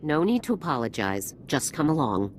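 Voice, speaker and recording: Stern, low-voiced female general. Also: very animated, normal speed, a little hiss or hum. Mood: brisk. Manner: formal, grave military delivery. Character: stern, hard-nosed female military general in a space opera. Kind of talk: video game cutscene voice acting.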